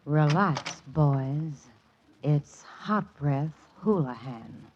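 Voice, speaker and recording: A woman's voice: low-pitched, animated, very slow, full character voice. A woman playing a sultry, wisecracking, brassy lady doctor in a 1940s radio comedy. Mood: bossy, teasing. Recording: dull, noisy, clicking 1940s radio recording.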